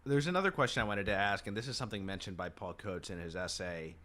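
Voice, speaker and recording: A man hosting a podcast film discussion, asking measured, thoughtful questions. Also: on the low side, bright, forceful tone.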